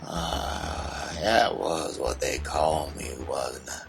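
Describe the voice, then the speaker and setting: A man playing a menacing, bitter old cult leader in an audio drama, with a gravelly voice and a rustic, brooding way of talking. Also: very deep voice, takes it slowly, soft background music, exaggerated voice. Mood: menacing, mocking.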